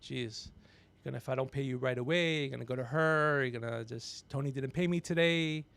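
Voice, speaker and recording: A gregarious man hosting a podcast, telling rambling comedic stories with animated, teasing asides. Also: mid-range voice, a little fast, creaky, smooth. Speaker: podcast host. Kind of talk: rambling comedic storytelling on a livestream.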